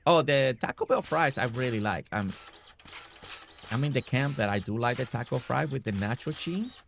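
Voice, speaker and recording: A man's voice: mid-range voice, expressive, normal speed, vocal fry, a bit bright, slight background noise. A man streaming a building game, chatting casually with viewers by name and a little congested. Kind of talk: casual live-stream chat while building.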